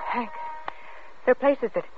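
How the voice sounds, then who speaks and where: An actress playing an anguished, pleading young pregnant wife in an old-time radio drama. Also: female voice, measured pace, lightly acted. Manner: pleading, emotionally intense. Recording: noisy muffled vintage radio broadcast.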